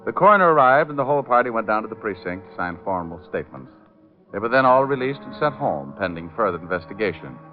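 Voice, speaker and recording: An actor playing a wisecracking, breezy private detective, wry and flippant in hardboiled old-time radio narration and dialogue. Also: male voice, on the low side, very animated, fast talker. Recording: muffled, narrow vintage radio recording, music.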